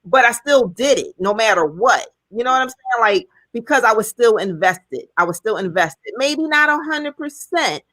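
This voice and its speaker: A woman's voice: a little high, expressive, moderate pace, hardly any pauses, fairly bright tone, dry recording, poor audio. A woman in her fifties hosting a challenge group, talking in an earnest, personal way and repeating key points for emphasis. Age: 50s. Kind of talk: casual group talk.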